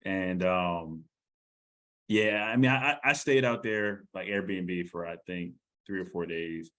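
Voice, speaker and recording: A man's voice: low voice, slow pace, fairly bright tone. A man co-hosting a podcast, chatting in an easygoing, joking way. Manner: easygoing, joking, repeats words when starting. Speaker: podcast co-host. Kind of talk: casual chat.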